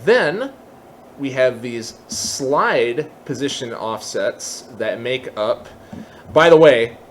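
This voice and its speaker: A man presenting an informal software tutorial, thinking aloud and openly admitting when he is unsure of details. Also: medium pitch, expressive, slow, slight background noise.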